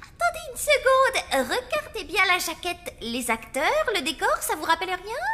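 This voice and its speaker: A woman voicing a bossy, excitable, scheming kid in a cartoon, cocky and teasing. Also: extremely high pitch, normal speed, full character voice. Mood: excited, scheming.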